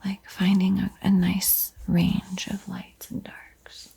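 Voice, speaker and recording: A woman speaks in a very breathy, soft whisper with a touch of creak. She guides gently in ASMR tutorial style, slowly, pausing often between short phrases. Her delivery stays hushed and even. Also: mid-range voice. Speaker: host of an art tutorial.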